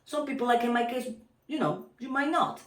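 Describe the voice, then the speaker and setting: A woman's voice: on the high side, fairly quick, reverberant, distant mic. A woman teacher from Peru with a strong Peruvian Spanish accent, talking casually to camera with many fillers, restarts and frequent laughs.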